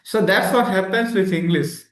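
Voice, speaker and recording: Man, channel host, slightly high voice, hesitant delivery, echoey room. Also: normal speed. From India. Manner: hesitant, many fillers and restarts. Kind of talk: casual interview.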